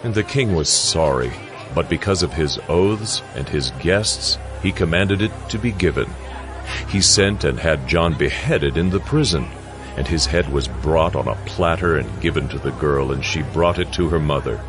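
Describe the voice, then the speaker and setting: A man narrating scripture in a solemn, measured, scripted style for a dramatized audio Bible. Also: rumbling low, animated. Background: music.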